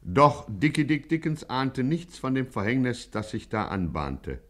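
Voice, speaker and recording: A man's voice: mid-range voice, very animated, measured pace. A man narrating a 1950s radio crime satire in a mock-solemn, literary, dramatic manner.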